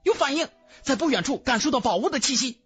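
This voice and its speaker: A man's voice: very high-pitched, expressive, quick pace, a bit bright, breathy edge, faint music behind, voice pushed up, full character voice. A man voicing a spiteful, scheming young woman cultivator in an audiobook. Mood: urgent, excited. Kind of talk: audiobook character voice.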